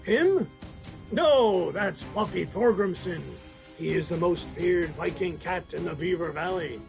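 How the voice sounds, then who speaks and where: A man playing a theatrical Viking warrior in a comedic scripted radio ad, with a gravelly voice. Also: high-pitched, lively intonation, measured pace, faint music behind, heavily acted. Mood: mock-solemn, hearty.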